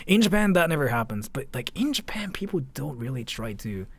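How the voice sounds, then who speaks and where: A man live streaming casual reaction commentary, talking in a halting, repetitive, informal way with some mild swearing. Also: mid-range voice, sing-song, slightly rough, no echo.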